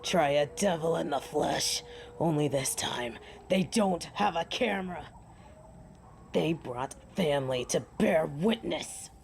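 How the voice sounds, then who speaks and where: A woman's voice: deep voice, darkish tone, full character voice. A woman playing a proud, sardonic female werewolf alpha in a theatrical fantasy audio drama. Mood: dry, menacing. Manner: haughty, theatrical, deliberate. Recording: clear background noise and ambience.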